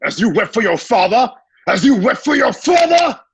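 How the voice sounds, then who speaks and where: A man playing a bitter, grieving, distrustful Roman gladiator in an amateur radio drama, gravelly and husky. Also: extremely high pitch, big pitch swings, moderate pace, dry recording, dull audio, heavily acted. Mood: bitter, accusing.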